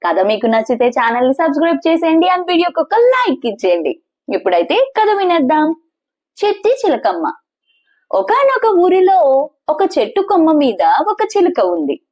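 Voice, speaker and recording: A woman hosting and narrating children's stories in an animated, expressive way, speaking directly to her listeners. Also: sits high. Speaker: host and storyteller of a children's story channel.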